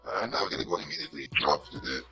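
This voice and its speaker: A man speaking casually as a deep villain through a pitch-lowering voice changer, with a gravelly electronic sound. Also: lively intonation, racing, pushed and bright, faint music behind, exaggerated voice. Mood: amused, casual. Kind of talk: casual software demo.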